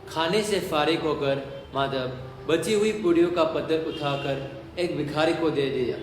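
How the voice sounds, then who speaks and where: Man narrator, slightly high voice, dramatic storytelling, strong hall echo, faint noise. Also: normal speed.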